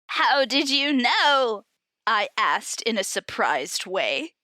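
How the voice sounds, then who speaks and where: High-pitched, animated, giggly woman. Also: slow pace, no room sound. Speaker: podcast reader and host. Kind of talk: comedic dramatic reading and casual chat.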